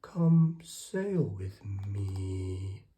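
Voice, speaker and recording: A woman voicing an immense, gentle male humpback whale in a children's storybook. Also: very deep voice, big pitch swings, extremely slow, slight background noise, full character voice. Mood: inviting.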